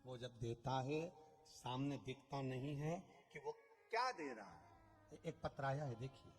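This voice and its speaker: A man's voice: mid-range voice, animated, measured pace, big-room sound, faint music behind. A man preaching a devotional katha sermon, telling stories, repeating phrases and singing lines. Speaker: Shiva Purana storyteller preacher. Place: large outdoor religious gathering.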